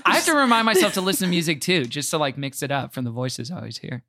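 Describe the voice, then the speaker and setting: A man's voice: a little high, expressive, quick pace, bright, forceful tone, dry recording. A man chatting casually as a podcast guest, wordy and discursive, with a wry, joking manner. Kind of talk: casual chat.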